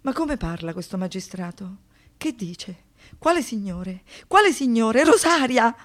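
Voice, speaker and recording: A woman playing a young survivor in a radio theatre monologue, shaken but defiant, speaking intensely and confidingly in short halting phrases. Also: a little high, very animated. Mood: bewildered, outraged. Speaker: monologue performer and narrator.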